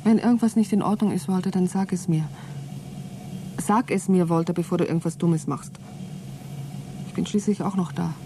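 A woman's voice: fairly low pitch, fairly even intonation, moderate pace, long pauses, noisy background, lightly acted. An actress playing an anxious but defiant woman trapped aboard with her partner in a radio play. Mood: worried, pleading. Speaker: radio drama actor. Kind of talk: German radio play acting, spoken logbook monologue.

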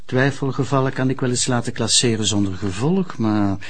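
An actor playing a cautious Flemish public prosecutor in a drama, questioning and hesitant. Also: male voice, mid-range voice, moderate pace, a little hiss or hum, lightly acted. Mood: doubting, hesitant. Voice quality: husky. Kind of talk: drama acting.

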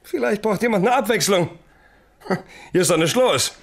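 An actor playing a bitter, mistrustful young ex-convict in a radio crime drama, answering curtly and sarcastically. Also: male voice, high voice, expressive, normal speed, gravelly, heavily acted. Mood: surly, defiant. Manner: curt, sarcastic, surly. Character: sullen, defiant young ex-convict tractor driver, bitterly mistrustful.

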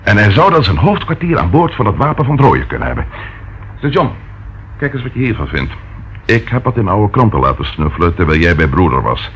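A keen detective in a radio drama, his lowish voice swinging expressively up and down. He theorises eagerly. The old recording sounds muffled. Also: big pitch swings, fairly quick, fairly bright tone, slight background noise. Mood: businesslike. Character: keen, speculative police detective colleague. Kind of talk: radio play acting.

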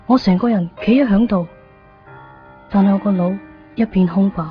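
A woman's voice: medium pitch, moderate pace, faint music behind, lightly acted. An actress playing a melancholy young woman in a wistful Cantonese radio drama monologue. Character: melancholy young woman quietly leaving her love behind. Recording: dull lo-fi 1990s radio.

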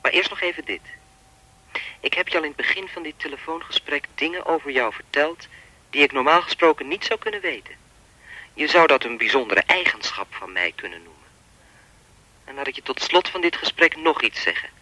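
A sinister male stalker in a radio drama. His voice is very sharp and forward, fairly high for a man, and swings widely in pitch. He philosophises smugly and wordily, keeping cool control. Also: expressive, brisk, no echo, heavily acted, rough recording. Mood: controlling, menacing. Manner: pompous, wordy, coolly controlling. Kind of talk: Dutch radio play acting.

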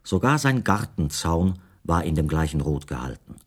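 Low-voiced, reflective male writer narrating. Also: very animated, husky, exaggerated voice. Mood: brooding, measured. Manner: measured, precise, formal. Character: reflective male writer, a foreign guest recounting his disappearance. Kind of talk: literary radio drama first-person narration.

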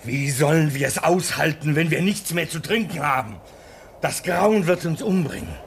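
A man's voice: fairly high pitch, lively intonation, normal speed, vocal fry, a little hiss or hum. An actor playing a homesick, bitter man stranded at a polar station in a radio drama. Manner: bitter, despairing.

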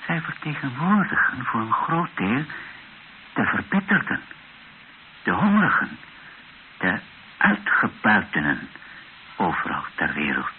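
Weary bishop, low voice, grave and reflective, radio drama, hissy old recording. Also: male voice, wildly swooping pitch, narrow, tinny audio. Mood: earnest, self-critical. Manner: reflective, grave, rhetorical, with deliberate pauses.

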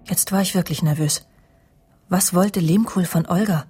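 An actress playing a proud, easily wounded, sharp-tongued career woman who narrates a radio crime drama in a cool, clipped way. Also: lowish voice, moderate pace, husky. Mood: brooding, self-assured. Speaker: first-person narrator and lead of a radio drama. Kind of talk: radio crime drama acting with inner monologue.

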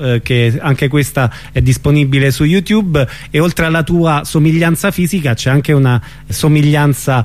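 A man's voice: a little high, darkish tone. A man hosting a radio programme, presenting in a conversational style. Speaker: radio host.

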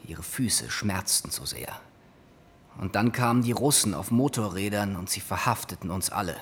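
An actor playing a meek, resigned, traumatised war veteran in a radio play, halting and subdued. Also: male voice, on the low side, slightly rough. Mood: subdued recollection. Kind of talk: radio play acting in German.

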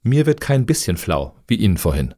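An actor playing a sardonic, plain-spoken applicant in a satirical radio play, speaking in a wry, pointed way. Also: male voice, fairly low pitch, big pitch swings, a little fast, darkish tone.

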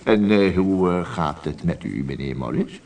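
An actor playing a muddled, sleepy small-town sheriff in a radio comedy, rambling, stammering and repeating himself. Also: male voice, sits low, lively intonation, moderate pace, darkish tone. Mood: muddled, chatty. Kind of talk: 1980s radio comedy acting. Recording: distant-sounding vintage radio play recording.

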